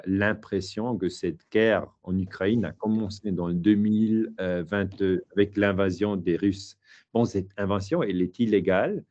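A man's voice: sits low, warm tone, highs cut off. A middle-aged man with a Swiss German accent, a historian answering interview questions in a hesitant way, with many fillers and restarts, speaking from a home study.

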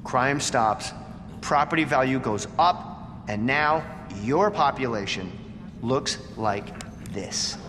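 A man's voice: medium pitch, lively intonation, takes it slowly, fairly bright tone, soft background music. A man acting as a smug, ambitious executive in a video game, sardonic, self-assured and articulate. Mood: cool, sardonic. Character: smug, ambitious male corporate executive, coolly scheming. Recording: long reverb, noisy ambience behind.

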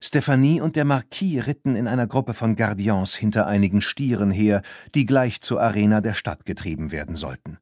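A man narrating a children's story from a script in an expressive, animated way. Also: fairly low pitch, brisk, hardly any pauses, no room sound, clean studio sound. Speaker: audiobook narrator.

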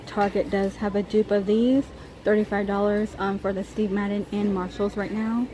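A woman's voice: medium pitch, level tone, normal speed. An American woman giving a casual, chatty shopping walk-through as a vlogger, browsing inside a large store with a handheld camera. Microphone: handheld phone or camera held by the speaker. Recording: clear ambient store noise. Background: store ambience.

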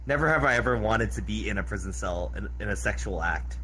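A man's voice: on the low side, expressive, brisk, bright, forceful tone. A man joking around casually in an online group voice chat. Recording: noisy mic with hum.

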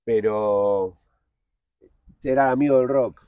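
Porteño guy in his 40s, dark mellow voice, blunt and slangy, keeps restarting, chatting at a park table. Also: mid-range voice, slow, smooth, dry recording. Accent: Buenos Aires Spanish. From Argentina. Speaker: guest, TV producer. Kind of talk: candid casual interview.

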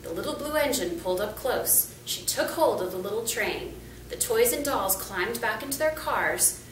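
Storybook reader: middle-aged woman, lively intonation, fairly high voice, roomy distant recording. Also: moderate pace. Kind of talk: reading a children's picture book aloud. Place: small indoor room, seated. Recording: roomy, distant camera mic, faint noise.

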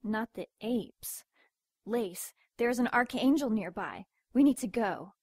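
A woman's voice: a little high, expressive, slow pace, darkish tone, husky, no room sound, studio quality, full character voice. A woman playing a stern, dutiful demon in an audio drama, curt and commanding. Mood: stern, urgent. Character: stern, dutiful female demon keeping her sister in line.

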